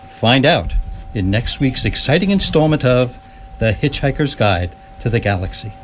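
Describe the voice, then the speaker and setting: A man's voice: medium pitch, lively intonation, normal speed. A man giving scripted comic narration as the storyteller of a radio drama. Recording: clearly noisy recording with hum.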